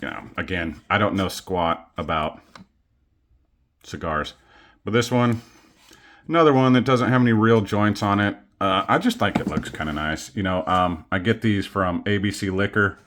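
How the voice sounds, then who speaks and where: Deep-voiced man rambling casually. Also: normal speed, a bit bright. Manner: rambling, self-deprecating, many ums. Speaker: coin collecting vlogger. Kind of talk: casual show-and-tell. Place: home studio.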